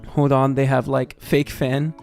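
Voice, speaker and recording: An American man livestreaming in casual chat, excitable and sweary, reacting and reading things aloud. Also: medium pitch, measured pace, vocal fry, soft background music. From Texas, United States. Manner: excitable, swearing, jumps from muttering to shrieks. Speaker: livestream host.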